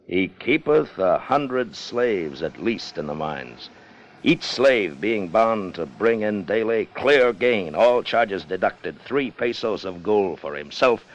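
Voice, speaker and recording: A man's voice: on the low side, animated, slow, dull audio. An American man acting a hard, greedy, commanding ship's officer in a 1950s radio drama, clipped and intense. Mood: greedy, intent. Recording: noisy vintage 1950 radio recording.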